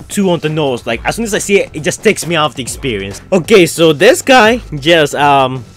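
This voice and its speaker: Young male YouTuber with a foreign-sounding accent, chatty and rambling, lively pitch, soft music bed. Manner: rambling, chatty, frequent fillers. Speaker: YouTuber answering fan questions. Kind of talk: casual Q&A chat.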